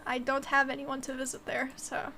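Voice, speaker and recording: A woman's voice: sits high, completely flat delivery, moderate pace, vocal fry, background noise. A young woman in her twenties whose first language was Mandarin, chatting casually on a game stream, giggling and filling her talk with "like" as she reads chat aloud.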